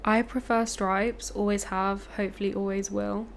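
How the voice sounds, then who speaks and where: A woman chatting as a podcast guest, with a playful, teasing manner and easy laughs. Her voice sits at an ordinary pitch. A light hum of background noise runs beneath her. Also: level tone, normal speed, gravelly. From Punjab, India. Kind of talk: casual chat.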